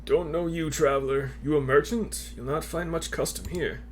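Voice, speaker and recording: A man's voice: a little high, normal speed, lightly acted. A guarded old village elder acted out by a man, speaking in terse, suspicious phrases. It is a fantasy game character, recorded with noticeable noise. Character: wary older male village elder.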